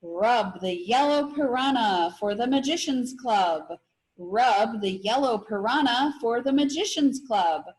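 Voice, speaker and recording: A woman's voice: a little high, expressive, unhurried, poor audio. A woman voicing an odd female parrot that repeats a riddle like a recitation in a storybook reading. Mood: chanting. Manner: repetitive recitation.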